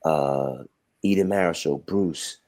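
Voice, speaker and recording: A man who directs films, talking in a relaxed, reflective way on a call from his mother's house. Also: a bass voice, slow. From Brooklyn, New York. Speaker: film director guest. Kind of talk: casual interview answers.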